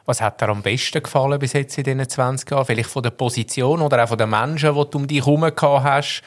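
A man's voice: mid-range voice, fast talker. A man hosting a travel podcast, asking friendly, long questions. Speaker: podcast host, interviewer. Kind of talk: interview questions.

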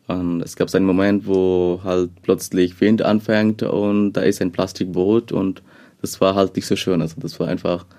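A young man from Bangladesh, a second-language speaker, answering interview questions haltingly with many fillers. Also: deep voice, flat delivery, warm tone. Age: young adult.

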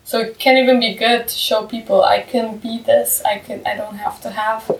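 A woman's voice: fairly high pitch, flat delivery, normal speed, creaky. Chatty woman in an echoey room. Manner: chatty, rambling, heavy filler use. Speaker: podcast co-host. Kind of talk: casual discussion. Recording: echoey room, far from the mic.

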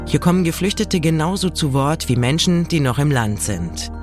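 A man reading a calm, scripted podcast introduction with a content warning. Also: fairly high pitch, normal speed. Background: music bed.

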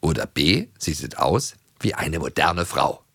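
A man's voice: extremely low pitch, animated, a little slow, creaky, pushed and bright, slightly rough, no room sound, studio quality. A man from East Germany co-hosting a podcast in casual, playful chat. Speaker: podcast co-host.

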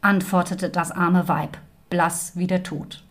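A woman's voice: fairly low pitch, flat delivery, slow pace. A woman storyteller reading a scripted fairy tale narration. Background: music.

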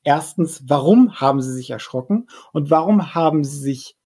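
A man's voice: on the high side, lively intonation, normal speed, a little mellow, slightly rough. A man playing a polite, analytical vampire investigator in an audio drama, speaking formally and hesitantly. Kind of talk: German audio drama role-play acting.

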